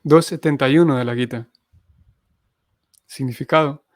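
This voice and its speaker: A man's voice: mid-range voice, moderate pace, dry recording, studio quality. A man giving a spiritual lecture, reading scripture and explaining it in a reflective way. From Honduras. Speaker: Hare Krishna missionary teacher.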